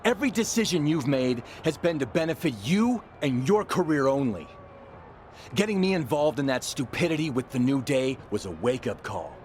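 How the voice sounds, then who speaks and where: A male actor plays a bitter, overlooked wrestling teammate. His mid-range voice moves with lively swings and a pointed, accusing edge, as in a game drama, over faint noise. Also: medium pitch, normal speed, close to an everyday voice. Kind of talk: video game cutscene acting.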